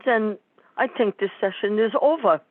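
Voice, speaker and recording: A woman playing a pompous, neurotic psychiatrist in a comic radio play, with a measured clinical delivery and tinny, phone-like sound. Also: medium pitch, no echo, natural voice. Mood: brisk, dismissive.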